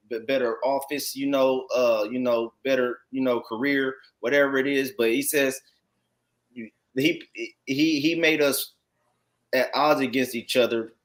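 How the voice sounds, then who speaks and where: A man's voice: mid-range voice, steady tone, a little slow. An American man giving informal religious teaching as a congregation teacher, emphatic and repetitive, often checking in with rhetorical tag questions. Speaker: congregation teacher commenting on read text.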